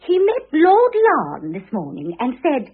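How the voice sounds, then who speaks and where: An actress playing an indignant, petulant younger British society wife with haughty, affected airs in an old-fashioned radio drama. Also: female voice, high-pitched, wildly swooping pitch, unhurried, heavily acted. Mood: indignant, huffy. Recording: thin phone-like vintage radio sound.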